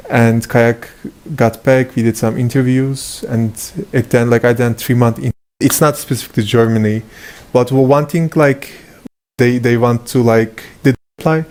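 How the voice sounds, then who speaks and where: A man's voice: mid-range voice, darkish tone. A young man, speaking about his job in a hesitant, casual way full of fillers and restarts. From Turkey. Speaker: data scientist. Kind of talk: casual testimonial.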